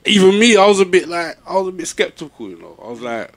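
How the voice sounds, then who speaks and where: A man's voice: high voice, big pitch swings, quick pace, crowd noise. Laid-back London man. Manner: laid-back, peppered with "you know what I'm saying". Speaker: MC interviewee. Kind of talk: casual interview chat.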